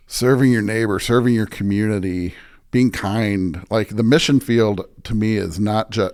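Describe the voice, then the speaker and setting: A man speaking as a pastor in a conversational interview, thinking aloud in halting phrases and often checking in with the listener. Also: fairly low pitch, darkish tone. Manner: halting, restarts thoughts, often checks in with "right?". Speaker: pastor, podcast guest. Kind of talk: conversational reflection on faith.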